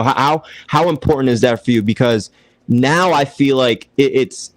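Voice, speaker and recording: A man's voice: medium pitch, a little mellow, dry recording. A man co-hosting a podcast, asking long rambling questions and mixing in Spanish words. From Puerto Rican heritage. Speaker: podcast co-host. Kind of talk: casual interview questions.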